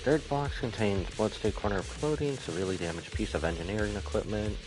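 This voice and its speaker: A man's voice: on the low side, a little fast, music in the background, poor audio. A man live-streaming a game, reading its text aloud and dropping in his own asides.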